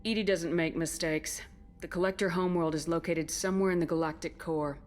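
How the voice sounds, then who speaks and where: An actress playing a tough, skeptical military commander in a video game, blunt and commanding. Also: female voice, lowish voice, steady tone, a little fast, soft background music, natural voice. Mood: firm, determined. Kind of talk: dramatic video game dialogue.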